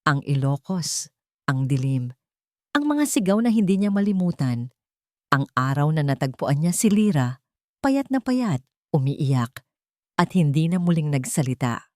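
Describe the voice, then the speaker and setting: A woman's voice: deep voice, big pitch swings, measured pace, long pauses, a little mellow, no echo, clean studio sound. A woman narrating a scripted romantic drama story in a dramatic storytelling style.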